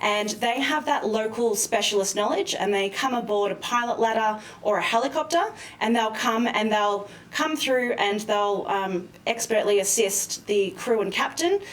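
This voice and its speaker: A woman speaking as an expert guest in a live news interview, explaining things in a measured, careful way. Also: medium pitch. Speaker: expert guest, maritime lawyer. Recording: roomy, distant mic with faint noise.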